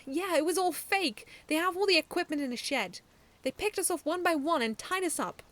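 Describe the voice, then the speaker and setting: A woman's voice: very high-pitched, lively intonation, brisk, fairly bright tone, pitched above the performer's own voice. A woman voicing a plucky young girl who explains earnestly.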